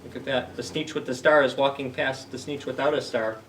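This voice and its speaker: A man's voice: medium pitch, fairly even intonation, a little fast, fairly bright tone, far from the mic, a little hiss or hum, poor audio. A man hosting a story time for young children, reading aloud and explaining things simply with questions to the listeners.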